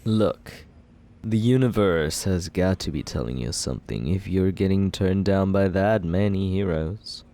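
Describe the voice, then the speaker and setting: A man playing a deep-voiced cocky young villain. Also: unhurried, dark tone. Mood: teasing. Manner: teasing, self-justifying, laid-back. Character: cocky young male villain courting the listener. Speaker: ASMR roleplay performer. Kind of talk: ASMR roleplay.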